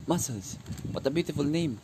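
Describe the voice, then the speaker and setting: A man's voice: on the high side, animated, a little fast, noisy background, natural voice. A man acting a sarcastic, teasing teenage brother in an amateur radio play, with mocking delivery.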